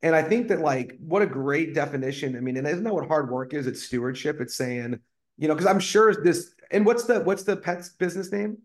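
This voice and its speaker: A man's voice: fairly high pitch, brisk. A man hosting a podcast interview, enthusiastic and full of praise for his guest. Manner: enthusiastic and effusive, repeats praise. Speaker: podcast host. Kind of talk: casual interview chat.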